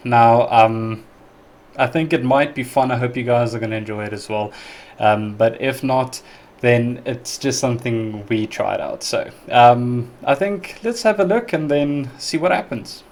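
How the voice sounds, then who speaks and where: Casual South African male host, Afrikaans first language, mid-pitched, relaxed and chatty, lots of ums. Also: a little slow. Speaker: reaction video host. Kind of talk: casual reaction commentary.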